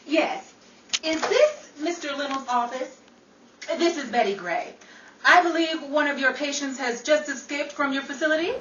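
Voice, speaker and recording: A woman's voice: high voice, animated, moderate pace. A woman playing a vain, wisecracking Hollywood actress in an old-time radio comedy style, theatrical and sassy. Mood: sarcastic. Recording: roomy, distant, dull sound with faint noise.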